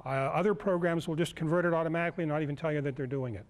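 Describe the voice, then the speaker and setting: A man in his sixties giving an informal live demonstration as a lecturer, halting as he searches for words. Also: a little high. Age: 60s. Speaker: lecturer at a club workshop. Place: indoor meeting room, speaking to a group.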